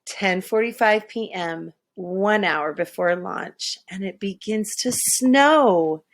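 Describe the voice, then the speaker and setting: A woman reading a children's story aloud in an expressive, dramatic way. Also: mid-range voice, slow pace. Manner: animated, dramatic, with hushed asides. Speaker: storybook reader.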